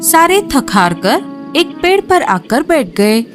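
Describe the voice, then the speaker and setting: A woman narrating a children's cartoon in a slow, even storytelling way. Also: sits high, faint music behind.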